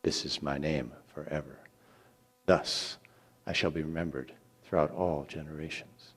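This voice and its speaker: A man voicing a solemn, commanding male God who speaks with deliberate authority in a dramatic scripture reading. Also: a bass voice, fairly even intonation, husky, pitched below the performer's own voice, heavily acted. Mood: solemn, majestic.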